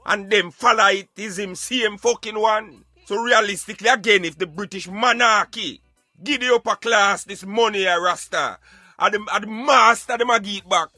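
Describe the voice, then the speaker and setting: A man's voice: high voice, moderate pace, sharp tone, no echo, soft background music. A Jamaican man arguing emphatically in Patois, in an impassioned debate. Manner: emphatic, argumentative, speaking in Patois. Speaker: radio show co-host.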